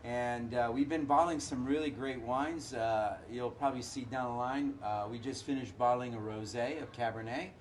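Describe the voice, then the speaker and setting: A hoarse middle-aged man, a winemaker, talking casually and haltingly with many filler uhs. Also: medium pitch, fairly even intonation, a little hiss or hum. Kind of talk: casual chat.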